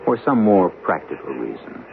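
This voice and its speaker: An actor as a grave host narrating radio horror in a dramatic, measured storytelling manner. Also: male voice, low voice, very animated, creaky, noisy background, full character voice. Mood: ominous. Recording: narrow-band old radio, music behind.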